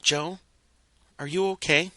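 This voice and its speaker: Man voicing an earnest young filly, pitched up. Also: fairly even intonation, slow, no room sound, full character voice. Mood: concerned, gentle. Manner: soft-spoken, earnest. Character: young female pony student of magic, earnest, gentle.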